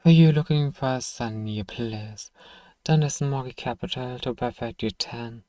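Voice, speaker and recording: Man acting a mellow, sardonic fantasy apothecary. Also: medium pitch, measured pace, dry recording, natural voice. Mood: sarcastic. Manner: dry teasing, sighing, murmuring to one listener. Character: gruff, sardonic apothecary shopkeeper, grudgingly kind, adult man. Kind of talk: ASMR fantasy roleplay acting.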